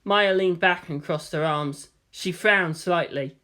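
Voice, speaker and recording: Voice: mid-range voice, slow, bright, forceful tone. A narrator reading fan fiction aloud as scripted storytelling.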